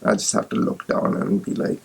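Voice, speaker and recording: A nineteen-year-old man from India, a medical student answering viewers' questions in a casual vlog, thinking aloud with fillers. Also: brisk. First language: Hindi.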